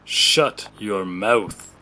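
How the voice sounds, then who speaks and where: A man's voice: fairly low pitch, extremely slow, pushed and bright, heavily acted. A man playing a stern, menacing plain-clothes lawman in an audio drama, curt and commanding. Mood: irritated. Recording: noisy home-device recording.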